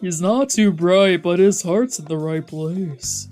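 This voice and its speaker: A person reading the part of a gruff, fond older male gang boss in a visual novel. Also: medium pitch, slow, darkish tone, soft background music, close to an everyday voice. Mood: fond, amused.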